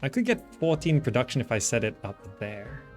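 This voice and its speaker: A man in an ordinary mid-pitched voice talks through a strategy game as he plays. He muses aloud and circles back over his own reasoning, casual throughout, with game music playing behind him. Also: very animated, a little fast. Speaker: gamer, channel host. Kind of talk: casual gameplay commentary, thinking aloud.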